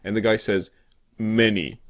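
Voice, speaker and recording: A man's voice: fairly low pitch, fairly even intonation, measured pace. A man co-hosting a show in casual comedic chat, talking in a hesitant, rambling way full of filler sounds. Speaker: co-host of a weekly show. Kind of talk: casual comedic chat about tech news.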